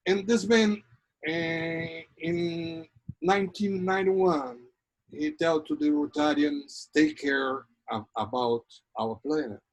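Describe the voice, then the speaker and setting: A man from Ceará, Brazil, with a Brazilian accent, hosting an online talk show and giving a formal welcome. He talks haltingly, searching for words, with many pauses. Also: a little high, poor audio. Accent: Brazilian Portuguese, from Ceará. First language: Portuguese. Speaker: host.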